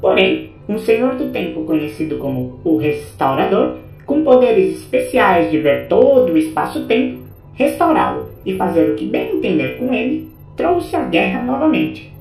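A woman's voice: fairly low pitch, wildly swooping pitch, normal speed. A woman playing a formal informant who gives expository briefings in an audio drama. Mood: grave, informative. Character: formal female informant briefing a Time Lord. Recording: roomy echo under loud music.

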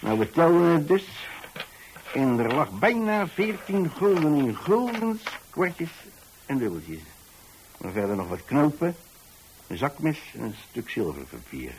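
A man's voice: fairly high pitch, very animated, measured pace, a little hiss or hum, low-quality recording. An actor playing an older retired landlord, tolerant and folksy, who tells his story hesitantly and repeats himself in a radio monologue. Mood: wry, chatty.

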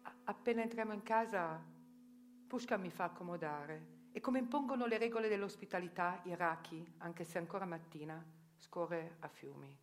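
A woman narrating a theatre reportage in a measured, reflective storytelling manner. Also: lowish voice, faint music behind.

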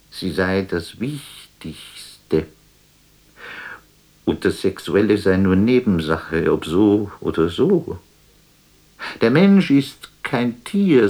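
A slow, low, melancholy poet. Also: male voice, exaggerated voice. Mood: tender, melancholy. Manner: solemn, introspective, theatrical, poetic delivery. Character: melancholy actor playing a lonely dreamy poet. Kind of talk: literary radio drama monologue.

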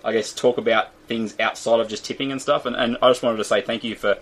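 Aussie podcast guy, talks fast, stumbles and repeats himself, mid-pitched voice. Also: fairly even intonation, fairly bright tone. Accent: Australian. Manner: rapid, stumbling, with repeated words. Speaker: podcast co-host. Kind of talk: casual podcast chat.

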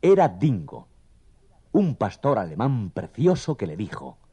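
A male storyteller with a low, slightly husky voice narrates a children's tale. His delivery is theatrical and expressive, rising and falling widely. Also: a little slow.

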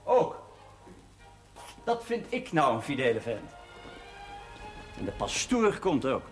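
An actor playing a genial, bossy husband hosting a party in a naturalistic 1970s TV drama. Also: male voice, medium pitch, big pitch swings, takes it slowly, faint music behind. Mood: busy, wry.

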